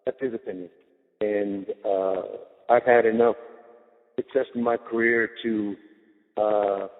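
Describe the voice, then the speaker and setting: A man, a boxing trainer answering questions on a media call in a deliberate, firm and blunt manner. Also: fairly low pitch. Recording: tinny phone-line conference call with reverb.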